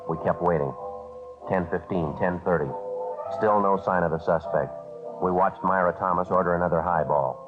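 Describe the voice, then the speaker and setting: Terse middle-aged police sergeant male actor, American, low and flat, clipped delivery, vintage radio-drama sound. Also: normal speed, lightly acted. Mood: deadpan. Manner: clipped, flat, matter-of-fact. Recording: muffled old radio recording, music behind.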